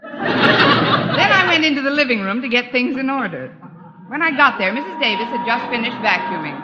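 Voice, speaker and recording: An actress playing a wry, quick-witted schoolteacher in a vintage radio sitcom, with dry wisecracking delivery. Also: female voice, high voice, very animated, over music. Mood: wry narrating. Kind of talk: 1940s-50s radio sitcom acting. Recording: muffled vintage radio recording.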